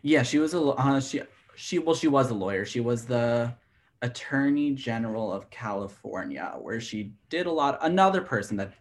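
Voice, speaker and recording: A man's voice: medium pitch, animated, normal speed. A man of twenty in casual chat as a podcast co-host, talking loosely with many fillers and laughing easily. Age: 20s. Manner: chatty, heavy use of "like", laughs easily.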